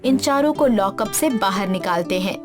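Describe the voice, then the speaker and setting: A woman's voice: medium pitch, animated, normal speed, music in the background. A woman narrating a scripted story for children.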